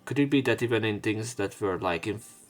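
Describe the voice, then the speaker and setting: A man's voice: fairly low pitch, a little fast, soft background music. A man narrating a visual novel as a let's play, reading the story text aloud.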